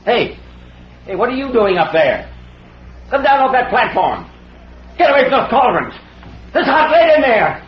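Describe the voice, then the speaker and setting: An actor playing a hard-bitten, brusque newspaper boss, ruthless and harried, in 1940s radio drama style. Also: male voice, very high voice, very animated, normal speed, pauses often. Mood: harried, angry. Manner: brusque, blustering. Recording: noisy, muffled old radio broadcast recording.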